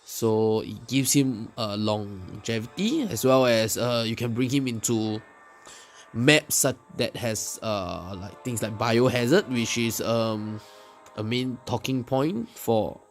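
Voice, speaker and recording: A man giving casual gameplay commentary in a hesitant, explanatory way, often pausing mid-sentence. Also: mid-range voice, expressive. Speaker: gaming video creator. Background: music.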